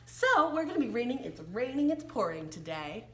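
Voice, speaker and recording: Animated, high-voiced American woman teaching young children. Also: moderate pace, slight background noise. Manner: exaggerated, playful delivery with gasps and questions to small children. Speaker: children's read-along and sing-along teacher. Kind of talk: interactive storytelling and sing-song reading for young children.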